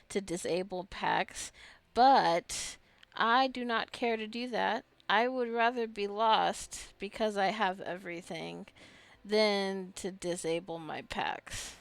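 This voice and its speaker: Female gameplay commentator, chatty and informal, thinking out loud; slow delivery, dry close sound. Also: medium pitch, vocal fry, smooth, no room sound. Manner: chatty, thinking aloud to herself and viewers. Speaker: gaming video host. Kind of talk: casual rambling gameplay commentary.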